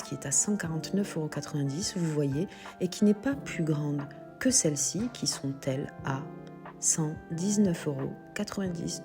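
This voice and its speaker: Low-voiced woman with a cold. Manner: chatty, explains prices step by step. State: has a cold. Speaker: shop owner presenting stock. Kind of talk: product walkthrough video.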